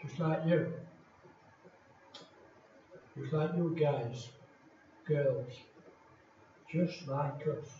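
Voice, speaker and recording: An older man from England, a retired pastor, preaching in a slow, deliberate and emphatic way with many pauses. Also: on the high side, mellow, slight background noise. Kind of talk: sermon. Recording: echoey hall from far off, dull lo-fi.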